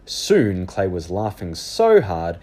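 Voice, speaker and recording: A man reading a children's book aloud as animated storybook narration. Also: sits low, takes it slowly.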